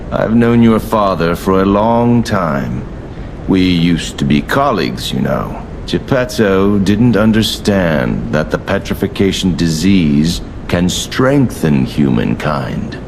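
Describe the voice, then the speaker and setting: An actor playing a grandiose, condescending alchemist leader in a video game, speaking with measured, mock-courteous pomp. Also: male voice, sits low, creaky, background noise, heavily acted. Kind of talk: theatrical video game villain monologue.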